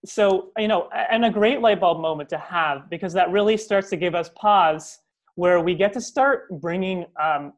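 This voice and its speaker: A man speaking as an interview guest, explaining ideas in a coaching tone with frequent restarts and tag questions. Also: a little high. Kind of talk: casual interview conversation.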